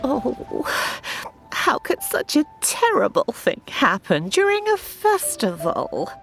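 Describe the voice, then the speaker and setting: Elderly villager for a game: kindly, forgetful, fretful, lilting with lively pitch, unhurried. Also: female voice, creaky, over music, heavily acted. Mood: worried. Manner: hesitant, fretful. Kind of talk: video game voice acting.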